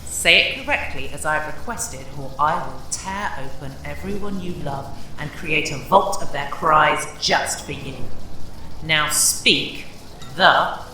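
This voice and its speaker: A woman's voice: sits low, measured pace, pushed and bright, very echoey, recorded from a distance, slight background noise, rough recording. A woman playing an imperious, manipulative witch villain in an audio horror drama, theatrical and commanding. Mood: threatening.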